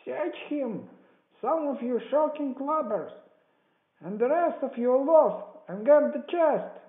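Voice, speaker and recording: A man doing a high, shouting blind pirate chief. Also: lively intonation, takes it slowly, roomy sound, phone-quality sound, exaggerated voice. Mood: fierce, commanding. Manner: shouting, commanding, impatient. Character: furious blind pirate beggar leader barking orders. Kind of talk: dramatized adventure storybook reading.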